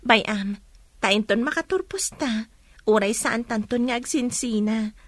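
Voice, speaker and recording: A woman playing a gentle, hopeful young woman in love, speaking softly and earnestly in a radio drama. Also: on the high side, a little slow, dry recording. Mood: tender.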